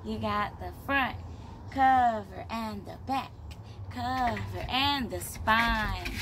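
Bright-voiced woman reading slowly to children. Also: fairly high pitch. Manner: deliberate, simple phrasing addressed to small children. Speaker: children's teacher reading aloud, child care director. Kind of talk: picture-book read-aloud for young children. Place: outdoors, on a patio beside plants. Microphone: camera a short distance away. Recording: clear outdoor background noise.

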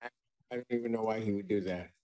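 Low-pitched older American male judge. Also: steady tone, fast talker, mellow. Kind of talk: formal courtroom proceedings. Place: courtroom, on a video call. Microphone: video call.

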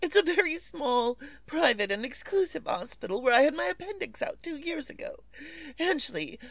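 A woman's voice: high voice, very animated, moderate pace, a bit bright, dry recording, full character voice. A woman playing a nervous, demanding invalid wife in a melodramatic 1940s radio suspense style. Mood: impatient, anxious.

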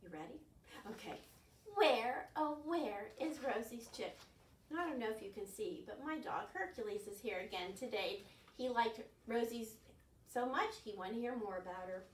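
An older woman hosting a storytime for young children, with an expressive, exaggerated delivery. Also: fairly high pitch, normal speed. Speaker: library storytime host. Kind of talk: children's storytime, reading aloud and singing. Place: carpeted living room at home. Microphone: camera a few feet away. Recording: roomy, distant mic, some background noise.

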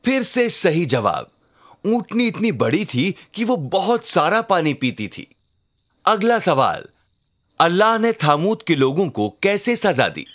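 A man voicing an encouraging father who narrates a children's cartoon story in an expressive way. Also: sits high, takes it slowly, background noise, heavily acted. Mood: cheerful, encouraging. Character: warm bespectacled father telling his child religious stories.

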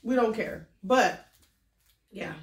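A woman co-hosting a casual eating-show chat, easygoing, quick with asides and laughs. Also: medium pitch, expressive, recorded from a distance. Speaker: co-host. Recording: echoey room, a bit off mic.

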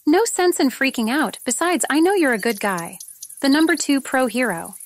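A woman playing a calm, reassuring, kindly countryside widow in an acted fan fiction audio drama. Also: high-pitched, normal speed.

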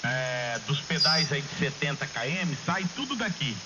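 Male Brazilian ham operator, average pitch, informal storyteller checking in with tag questions, over a dull, noisy shortwave channel. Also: lively intonation, moderate pace. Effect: shortwave ham radio transmission. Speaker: amateur radio operator in a group contact. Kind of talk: casual chat over ham radio. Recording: dull shortwave radio with loud hiss.

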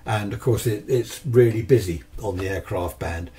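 A man's voice: lowish voice, normal speed, warm tone. An older man with a southern English accent, chatting casually through an unscripted demonstration, rambling with little asides and questions to himself. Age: older, 60s or 70s. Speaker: amateur radio hobbyist presenting a video. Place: cluttered indoor home radio workshop.

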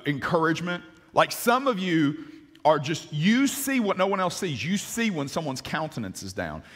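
A man in his forties, a pastor preaching a sermon on a large church stage, animated and gesturing, with frequent restarts. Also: a little high. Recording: long hall reverb.

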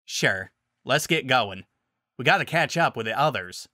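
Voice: mid-range voice, lively intonation, measured pace, pushed and bright, no echo, clean studio sound. A narrator voicing a stern, easily irked female paladin in a fantasy story, solemn and dryly exasperated. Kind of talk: audiobook-style fantasy story character.

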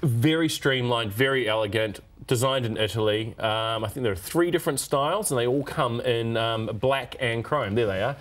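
A man's voice: medium pitch, moderate pace, hardly any pauses, a bit bright. A man in his forties with a New Zealand accent, chatting casually and with self-deprecating humour as he reviews a product in a TV studio. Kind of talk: casual TV demonstration chat. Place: TV studio at a desk.

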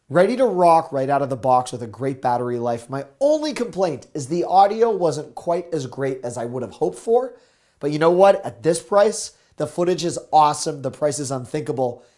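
Hoarse, upbeat deal-show host. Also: male voice, mid-range voice. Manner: energetic, salesy, gesturing with products. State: a little hoarse. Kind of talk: upbeat product pitch to camera. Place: small studio with shelves of gadgets. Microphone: lapel mic.

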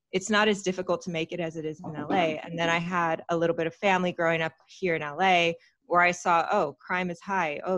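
A woman in her thirties tells her story in a chatty, open way, with plenty of fillers. Her voice sits a little low and comes through a close microphone with no room sound at all. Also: a bit bright, dry recording. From Texas, United States. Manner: chatty and open, with frequent fillers and small laughs. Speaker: podcast guest. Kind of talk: candid personal storytelling in an interview. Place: small room at home, indoors. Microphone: large studio mic with foam cover, close.

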